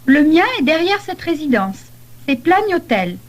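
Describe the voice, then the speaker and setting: A woman playing a polite young holidaymaker in a scripted language-lesson dialogue, speaking deliberately. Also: high-pitched, big pitch swings. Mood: polite, helpful.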